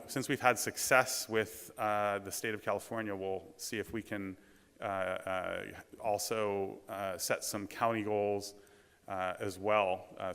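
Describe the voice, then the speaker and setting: Brief: male conference presenter, booming, smooth, resonant, bright tone, halting with frequent fillers, reverberant hall. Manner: halting, many ums and restarts. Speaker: conference speaker, task force president. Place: large lecture hall.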